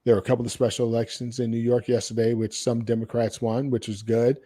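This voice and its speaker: A man's voice: mid-range voice, level tone, fast talker, mellow. An American man hosting a casual podcast chat, speaking in a blunt, salty-tongued way. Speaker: podcast host.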